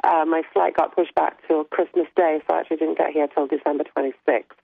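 A woman hosting a radio talk show, chatting casually and laughing often, her voice still rough from laryngitis. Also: sits low, steady tone, brisk, no room sound. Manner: chatty, laughs often while telling stories. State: recovering from laryngitis. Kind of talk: casual on-air chat. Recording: tinny phone-line sound, dry.

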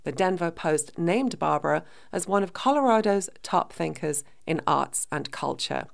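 A woman hosts a radio interview show with a hoarse, croaky voice. She is recovering from illness, and her fairly low tone carries a gravelly fry. She introduces her guests with enthusiasm. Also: animated, normal speed. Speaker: radio talk show host.

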